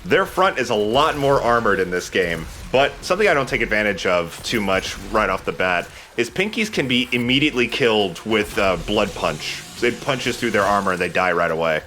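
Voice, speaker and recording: Bright, forceful low-voiced man commentating gameplay. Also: expressive, normal speed, few pauses, slight background noise. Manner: profane, rambling, jokey. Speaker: host of a video game let's play. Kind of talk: casual gameplay commentary with co-commentary banter.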